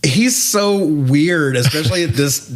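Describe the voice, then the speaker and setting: A man's voice: a little high, expressive, measured pace, noisy background. A man co-hosting a casual movie review chat, talking in an informal, slangy, joking way. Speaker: podcast co-host.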